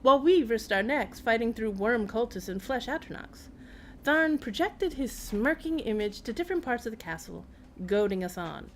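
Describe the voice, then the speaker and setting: A woman's voice: fairly high pitch, expressive, normal speed, a little hiss or hum. A woman reading out the game dialogue of an embittered, loyal warrior companion who trusts few. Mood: grim recounting.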